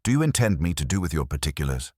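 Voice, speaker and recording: A man voicing a mild, reserved police inspector who is measured and drily observant, in a mystery story. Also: extremely low pitch, big pitch swings, darkish tone, husky, no room sound, clean studio sound, exaggerated voice. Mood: mild, questioning.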